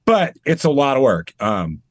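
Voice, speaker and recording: A man's voice: medium pitch, normal speed, no room sound. Chatty man on a video call, dry sound. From Maine. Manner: chatty, animated, self-deprecating. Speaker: guest, tribute performer. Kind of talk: casual interview over video call.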